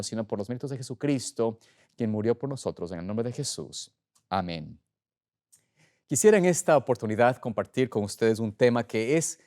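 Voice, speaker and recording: Man, middle-aged, smooth resonant voice, slightly high pitch, earnest deliberate sermon delivery. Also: darkish tone. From Ecuador. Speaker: pastor preaching. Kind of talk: sermon, opening with prayer.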